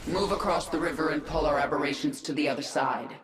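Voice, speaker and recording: A woman's voice: deep voice, fairly quick, noisy background, natural voice. A woman playing a commanding, vengeful alien queen in a video game, giving terse, imperious orders. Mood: cold, commanding. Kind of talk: video game character dialogue. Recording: echoing effect, loud game music behind.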